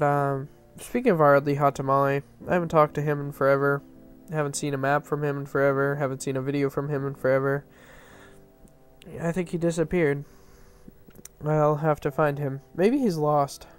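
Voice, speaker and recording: A man with a stuffy, nasal voice giving casual, rambling commentary over gameplay, full of fillers and restarts. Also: on the high side. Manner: rambling, filler-heavy, self-correcting. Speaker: map reviewer. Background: faint background music.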